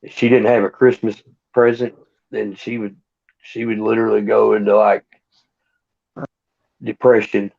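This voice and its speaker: Gravelly low man, flat delivery. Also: moderate pace, long pauses. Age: 30s. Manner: laid-back, chatty, tells stories. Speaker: co-host. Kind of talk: casual livestream chat. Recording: muffled lo-fi stream audio.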